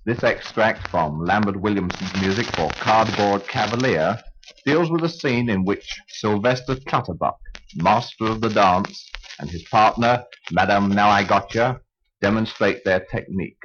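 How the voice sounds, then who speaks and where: A man giving a scripted, formal and measured explanatory narration in 1950s radio style. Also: fairly low pitch, a bit bright, slight background noise. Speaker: narrator of a radio programme on film music. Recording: dull 1950 radio acetate disc recording. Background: orchestral film music.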